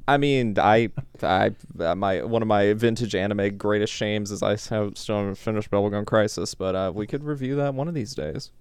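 A man's voice: fairly low pitch, moderate pace, few pauses, smooth, no echo. A man hosting a podcast, steadily steering the chat and introducing the episode's topic. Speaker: podcast host.

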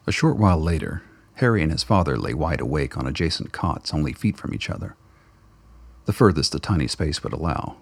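A male narrator reads a radio drama script. His voice is extremely deep and gravelly, with a husky grain. The delivery is dramatic and scripted, recorded close and dry. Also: animated, brisk, no room sound.

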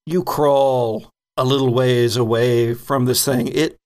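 A man's voice: mid-range voice, steady tone, takes it slowly, a little mellow. A man narrating as game master of a horror roleplaying game, conversational and descriptive as he improvises.

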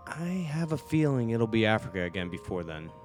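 A man's voice: fairly low pitch, big pitch swings, a little fast, faint music behind. A man playing a dismissive, firm husband and father in a radio play. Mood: troubled.

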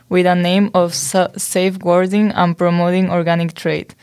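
A woman's voice: fairly low pitch, a little mellow. A young woman from Spain, co-hosting a student podcast and reading from notes with small stumbles. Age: young adult. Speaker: podcast co-host.

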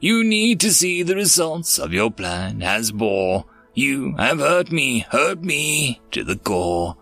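A man's voice: mid-range voice, big pitch swings, slow pace, creaky, pushed and bright, faint music behind, exaggerated voice. A man voicing a vengeful, wounded human father in a science fiction storybook, with menacing, declamatory rhymed speech. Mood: grim fury.

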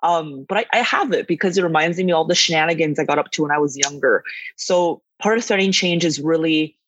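A woman's voice: low voice, brisk, no room sound. On a slightly muffled, dry recording, a young woman chats as a podcast guest. She is enthusiastic and conversational. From born in northern China, grew up in western Canada from age eight. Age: young adult. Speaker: podcast guest, youth climate advocate. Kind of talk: conversational interview.

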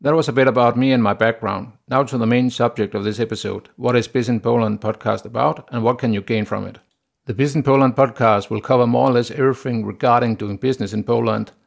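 A man from Denmark with a Danish accent, hosting a solo business podcast in a steady, plain way with frequent "uh" pauses. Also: mid-range voice, gravelly. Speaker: podcast host.

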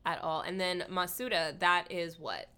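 A woman's voice: on the low side, fairly even intonation, moderate pace, pushed and bright, clean tone. A woman hosting a podcast interview in a casual, chatty way, often saying "like" as she goes. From Hawaii. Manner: informal, chatty, frequent "like". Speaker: podcast host interviewing a guest.

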